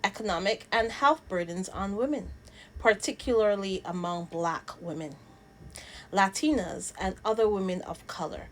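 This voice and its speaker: A woman from Barbados presents casual commentary as a channel host. She speaks at a middling pitch in a halting manner, restarting phrases and repeating words as she makes her points or reads aloud. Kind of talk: casual vlog commentary, reading statements aloud.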